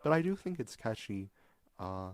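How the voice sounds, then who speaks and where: A man's voice: on the low side, sing-song, normal speed. A man co-hosting a casual podcast about music, speaking in a hesitant, stammering way with dry delivery. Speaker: podcast co-host.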